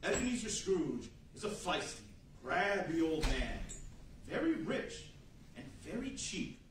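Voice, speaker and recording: A theatrical 1940s radio storyteller, acted by a man addresses the room with wry flair. His voice is high and animated. It is very echoey and far from the mic. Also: a little slow, slight background noise. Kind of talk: 1940s radio narration on stage. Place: large indoor meeting room with seated audience. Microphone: far camera.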